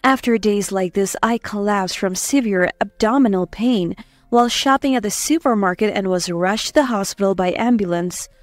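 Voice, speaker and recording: A woman reading a first-person dramatic story as narrator, in an expressive, emotive way. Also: medium pitch, normal speed, no echo. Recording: dry close voice over faint music. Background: soft background music.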